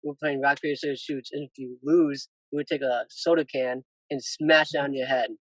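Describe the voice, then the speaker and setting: Teen male athlete guest, fairly flat delivery, dry sound, casual storytelling. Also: medium pitch, brisk, no echo, poor audio. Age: teenager. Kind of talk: casual anecdote telling.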